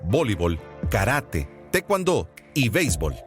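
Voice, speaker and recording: Man, announcer voice-over, scripted intro, animated intonation, music clearly behind. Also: fairly low pitch, a little slow. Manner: lively announcer read.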